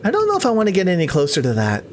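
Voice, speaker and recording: A man's voice: high voice, huge pitch swings, racing, slight background noise. A man voicing a curious, suspicious investigator in a visual novel, questioning and uneasy. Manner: questioning, wary.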